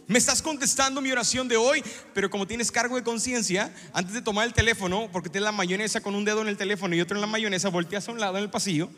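A middle-aged man preaching a sermon as a pastor, animated and joking, acting out little scenes as he talks. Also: sits high, quick pace. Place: church sanctuary. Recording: long hall reverb in a church.

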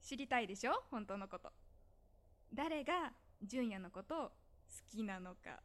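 A woman acting an exasperated, bossy and teasing high school girl in a Japanese voice drama, with an emphatic scolding manner. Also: expressive, normal speed, fairly bright tone. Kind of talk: Japanese voice drama phone-call dialogue.

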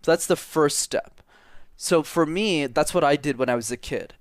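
A man's voice: fairly high pitch, moderate pace, no echo. Young guy, speaking coach, punchy and direct, repeats himself a lot, super dry audio. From Montreal, Canada. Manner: energetic, direct, repeats words for emphasis. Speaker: public speaking coach, guest. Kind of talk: casual interview talk.

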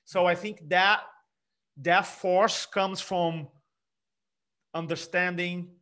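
Energetic Brazilian-accented man, bright voice. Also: sits high, slow. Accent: Brazilian Portuguese. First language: Portuguese. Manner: energetic, emphatic, frequent restarts. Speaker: speaking coach. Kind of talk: conversational interview.

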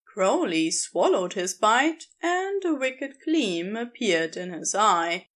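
A woman reading a story aloud as narrator, in an expressive scripted style. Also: medium pitch, takes it slowly. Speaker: podfic narrator.

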